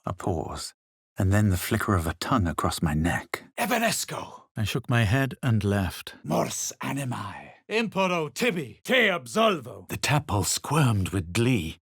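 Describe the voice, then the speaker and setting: A man voicing a smug, self-assured adventurer hero in a fantasy video game, with a husky voice and a measured, dramatic delivery. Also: fairly low pitch, huge pitch swings, fairly bright tone, dry recording, clean studio sound, full character voice. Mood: commanding incantation. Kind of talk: video game voice lines, narration and spell calls.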